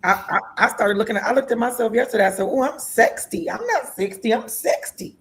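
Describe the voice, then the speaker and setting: A woman's voice: a little high, a little fast. A woman in her sixties hosting an interview show, chatty and laughing often. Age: 60s. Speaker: host. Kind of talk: casual interview talk.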